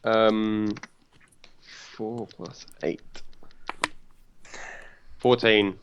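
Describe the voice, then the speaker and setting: A man's voice: fairly low pitch, flat delivery, very slow, lo-fi sound, slight background noise. A man playing a tabletop role-playing game, chatting casually. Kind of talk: casual chat.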